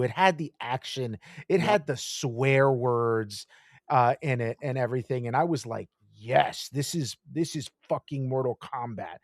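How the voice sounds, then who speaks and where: A man co-hosting a podcast, gushing with animated enthusiasm and swearing often in casual chat. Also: mid-range voice, no room sound, clean studio sound. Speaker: podcast co-host.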